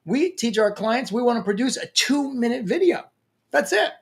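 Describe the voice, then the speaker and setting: Lively New York man giving a pep talk. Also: very high voice, moderate pace, creaky. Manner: energetic, emphatic, playful. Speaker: LinkedIn coach. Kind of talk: motivational talk to camera.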